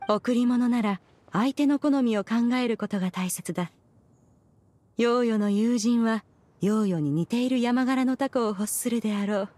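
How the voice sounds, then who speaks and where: A woman playing a dignified, wise immortal auntie in an anime game, with archaic, regal speech and measured delivery. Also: a little high, warm tone, natural voice. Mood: wise, kindly. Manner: stately, self-assured, measured. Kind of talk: Japanese anime game acting.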